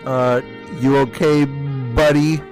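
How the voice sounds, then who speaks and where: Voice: steady tone, takes it slowly, a little mellow, lightly acted. A performer voicing a frightened young male fox sidekick who stammers and quivers in an amateur comedic fanfiction reading. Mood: worried. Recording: old-timey low-quality mic, music.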